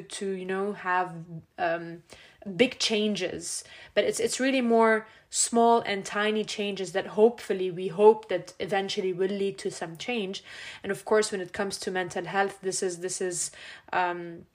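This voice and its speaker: Woman interviewer, measured. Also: mid-range voice. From Lebanon. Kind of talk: conversational interview.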